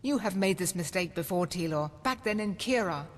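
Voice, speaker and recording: A woman's voice: on the low side, lively intonation, moderate pace, lightly acted. An actress playing a stern, reproachful woman of a holy order in a fantasy game, speaking in a pointed, argumentative way. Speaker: video game character. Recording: long hall reverb.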